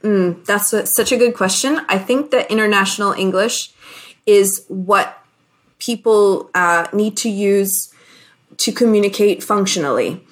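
A woman born in Hong Kong, a communication coach, talking casually as a guest and explaining her ideas with thoughtful pauses. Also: medium pitch. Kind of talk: casual interview.

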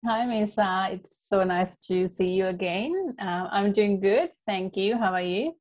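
A woman's voice: mid-range voice, measured pace, darkish tone. A young woman from Sri Lanka, a life coach, talking in a casual interview with an earnest, heartfelt manner and plenty of ums and you-knows. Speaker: podcast guest, life coach.

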